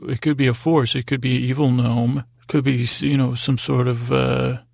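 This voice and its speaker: A man hosting a bedtime podcast with a gravelly, creaky voice, rambling in a halting, repetitive, folksy and digressive monologue. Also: mid-range voice, level tone, a little mellow, no room sound. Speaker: podcast host.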